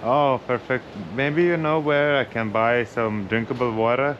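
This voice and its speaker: A man's voice: mid-range voice, fairly even intonation, muffled recording. A young man with a Lithuanian accent, chatting casually to camera while cycling outdoors. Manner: chatty, friendly, quick reactions. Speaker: cycling vlogger. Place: outdoors on a hilly coastal road. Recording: outdoor road and wind noise.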